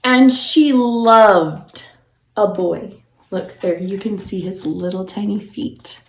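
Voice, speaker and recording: A woman's voice: medium pitch, slow pace, reverberant. A woman preschool teacher reading a storybook aloud to young children, encouraging and explaining things simply.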